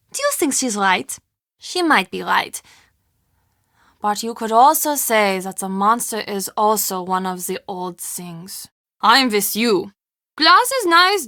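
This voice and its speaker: An actress playing an anxious, wry, friendly castle guest-services manager in a video game, speaking in an earnest, confiding way. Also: female voice, on the high side, sharp tone, no echo, clean studio sound, full character voice. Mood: warm, musing. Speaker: game character voice actor. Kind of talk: video game character dialogue.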